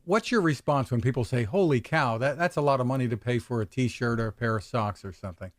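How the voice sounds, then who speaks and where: A male interviewer with a middle-pitched voice asks short, encouraging questions. The sound is dry and close, with no room echo. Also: fast. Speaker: interviewer, host.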